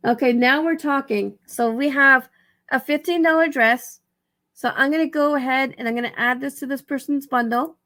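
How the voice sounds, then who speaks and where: A tired, dry-throated woman with a raspy voice gives a casual tutorial, rambling and correcting herself as she thinks aloud. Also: high-pitched, normal speed, a bit bright. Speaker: vlogger giving reselling tips. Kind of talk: casual screen walkthrough tutorial.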